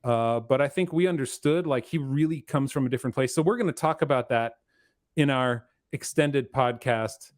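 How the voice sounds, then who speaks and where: A man's voice: medium pitch, moderate pace, no echo, studio quality. A man hosting a podcast in a casual, informal and rambling way. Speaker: podcast host.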